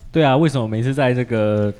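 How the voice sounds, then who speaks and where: A man's voice: mid-range voice, quick pace, a little mellow. A man with a Taiwanese Mandarin accent hosts a casual interview, commenting and asking in an informal, opinionated, wry way. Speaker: podcast host.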